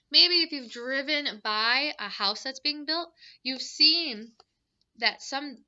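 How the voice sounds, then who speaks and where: Very bright-voiced woman reading to children. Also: high voice, muffled recording. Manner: instructive, questioning, addressing young children. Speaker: teacher reading aloud to children. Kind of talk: children's picture book read-aloud with explanatory asides.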